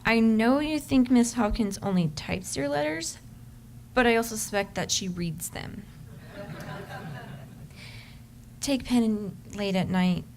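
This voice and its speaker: A woman reading aloud as an adoring, yearning wife, ardent and measured in a staged love-letter reading, sometimes stumbling. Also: on the high side, vocal fry, darkish tone, slight background noise. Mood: pleading, tender. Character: yearning, adoring pregnant wife writing to her husband.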